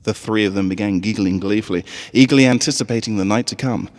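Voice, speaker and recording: A man reading a story aloud as an expressive narrator, with a long hall-like reverb on the recording. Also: lowish voice, fast. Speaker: fan fiction reader.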